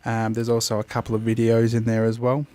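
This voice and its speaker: An Australian man hosts a casual review in a middle-pitched voice. He talks through each point as he goes, a little hesitantly and in plain, explanatory terms. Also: completely flat delivery, fairly quick, dark tone, dry recording. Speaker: host of an app review show.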